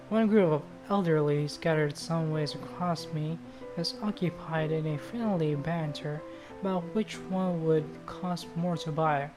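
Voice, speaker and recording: A woman streamer reading visual novel narration aloud, now and then stumbling and rereading phrases. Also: low voice.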